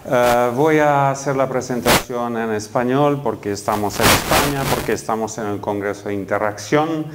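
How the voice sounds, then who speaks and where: A man's voice: medium pitch, normal speed, few pauses, heavy background noise. A middle-aged man from Romania with a Romanian accent, giving a conference keynote talk with frequent hesitant fillers. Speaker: keynote speaker. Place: conference hall.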